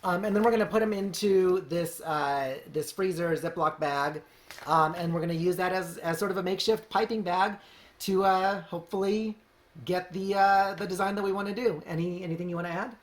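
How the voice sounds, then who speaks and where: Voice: medium pitch, steady tone, fairly quick. A person giving a casual, unscripted how-to narration as a home baker, in a chatty, rambling, hedging way. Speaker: home baker hosting a craft project video. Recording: clearly noisy home recording.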